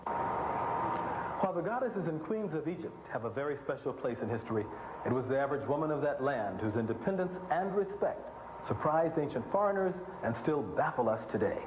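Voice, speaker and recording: A man presenting scripted, lecture-like narration with formal, emphatic and deliberate phrasing. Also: fairly high pitch, animated. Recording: roomy, noisy, dull lo-fi audio.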